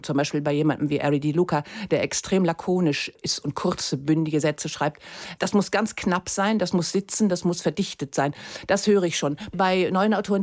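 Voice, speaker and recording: A woman's voice: low voice, darkish tone. A woman, answering radio interview questions as a guest in a considered, articulate way. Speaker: literary translator, interview guest.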